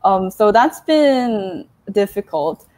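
A woman's voice: medium pitch, sing-song, takes it slowly, a little mellow. A young woman, a guest author on a video call, speaking earnestly and casually with lots of "like" and easy laughter. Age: young adult. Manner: earnest, laughs easily, many "like"s. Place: indoor room. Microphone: earphone mic on a video call.

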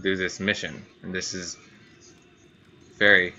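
A man's voice: low voice, little pitch movement, unhurried, pushed and bright, highs cut off. A man giving casual let's play commentary, rambling and repeating himself as he thinks aloud, as host of a gaming channel. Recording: faint background noise.

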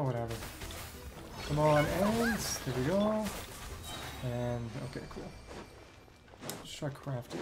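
A woman streamer giving casual live gameplay commentary, half muttering to herself with mild exclamations. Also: a bass voice. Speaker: game streamer. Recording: loud game music behind voice. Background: loud game music.

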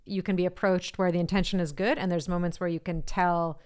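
A woman co-hosting a podcast, chatting casually and steering the conversation with measured, hedging remarks. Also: fairly low pitch, flat delivery, darkish tone, clean tone. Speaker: podcast co-host.

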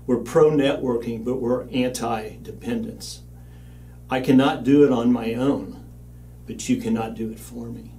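A man's voice: medium pitch, fairly even intonation, a little slow, mellow, far from the mic, slight background noise. Older man speaking earnestly at a lectern. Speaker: mission organization leader. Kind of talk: banquet speech. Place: studio with backdrop, at a lectern.

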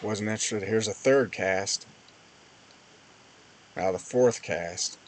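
Low-pitched man narrating fishing footage. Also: slow. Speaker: fishing channel host. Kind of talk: casual voice-over commentary on fishing footage.

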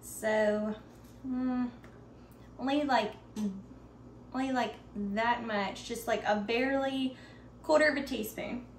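A woman in her thirties with a slightly high voice talks brightly to her viewers while baking. She is recorded by a camera set across her home kitchen, and a faint hum sits under her voice. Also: takes it slowly, pauses often. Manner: chatty, upbeat, talks straight to viewers. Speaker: baking vlogger. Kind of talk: casual baking tutorial.

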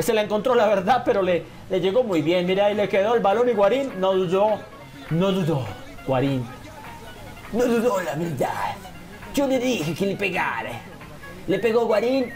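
A young man chats into a desk mic in a small room, his pitch swinging widely as he narrates his football game and answers viewers. He keeps calling his audience "señores." Quiet game music plays behind him. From Colombia. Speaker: gaming YouTuber. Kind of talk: casual gameplay commentary.

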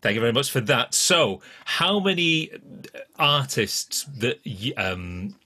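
A man's voice: mid-range voice, sing-song, sharp tone, husky. A man in his forties hosting a conversational interview over a video call, feeling his way through his questions. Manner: halting, rephrases questions as he goes. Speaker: podcast host, interviewer. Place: indoor home room, video call.

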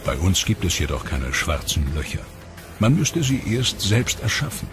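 A male documentary narrator with a very deep, low voice delivers measured, explanatory scripted lines. Clear music plays underneath throughout. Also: sing-song.

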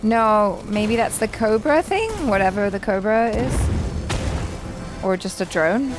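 A woman's voice: mid-range voice, animated, a little slow. A young woman giving casual reaction commentary on a video game as a show host. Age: young adult. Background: game music and effects.

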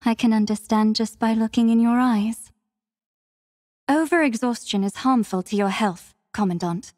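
Woman doing a soft formal game warrior girl, young adult, calm n devoted, kinda high voice, close and dry but with a reverb tail. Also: lightly acted. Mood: calm, caring. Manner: formal, measured, soft-spoken courtesy. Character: devoted, gentle, composed young female warrior. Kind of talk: scripted mobile game character lines.

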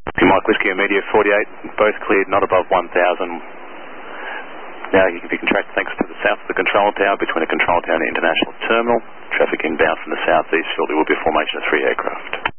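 Low-voiced Australian air traffic controller man, radio. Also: quick pace. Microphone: aviation radio. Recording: tinny, noisy aviation radio transmission.

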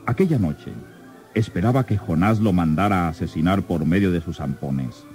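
A man's voice: sits low, moderate pace, a little mellow, faint music behind. A man with a Mexican accent giving scripted dramatic narration for a radio serial.

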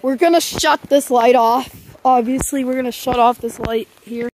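A young woman with a high voice chats and giggles as she films a rainy yard at night. Her narration is casual and upbeat, picked up by a handheld camera mic, with a faint hiss of rain behind her. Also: fairly even intonation, normal speed, a little mellow, poor audio. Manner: chatty, giggly, describes what she films. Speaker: vlogger filming outdoors.

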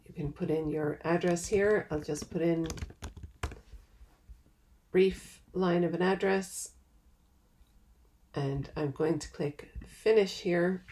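A woman giving a step-by-step software tutorial over a screen recording, in a plain, patient manner. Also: fairly low pitch, takes it slowly, pauses often. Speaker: software trainer.

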